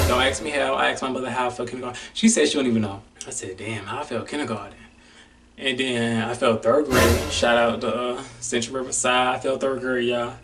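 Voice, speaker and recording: A man's voice: mid-range voice, recorded from a distance, noisy background. A young man, a DJ, talking casually and animatedly to camera, calling his viewers y'all. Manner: animated, chatty, says y'all a lot. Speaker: DJ and vlogger.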